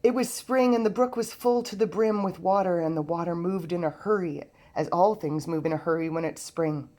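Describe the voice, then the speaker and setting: A woman narrating a children's storybook aloud in an expressive storytelling manner. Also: lowish voice, normal speed. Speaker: storybook reader.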